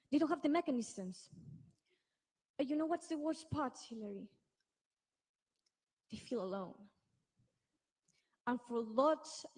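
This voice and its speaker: A teenage girl from Chile speaks English as a second language, with Spanish as her first. Her voice sits a little high. She builds emphatic, repeated phrases and restarts words as she pushes her point. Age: teens. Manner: earnest, emphatic, with repetitions and restarts. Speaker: youth activist speaker. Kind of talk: impassioned speech on a panel.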